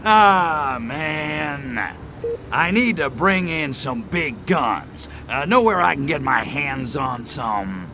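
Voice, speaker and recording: A man's voice: a little high, animated, slow, noisy background. A man playing a cocky, wisecracking little alien invader in a video game, with a sardonic tough-guy manner. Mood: sardonic, grumbling. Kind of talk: video game cutscene acting.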